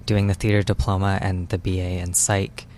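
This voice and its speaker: A man's voice: sits low, flat delivery, normal speed, darkish tone, slight background noise. A young man, a student, answering interview questions in a casual, conversational way. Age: young adult. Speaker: student interviewee, stage manager and director.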